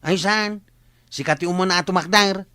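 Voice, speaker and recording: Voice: medium pitch, normal speed, sharp tone, natural voice. A performer with a Filipino Ilocano accent playing a stubborn, bickering villager in a radio comedy. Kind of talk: comedic radio drama acting.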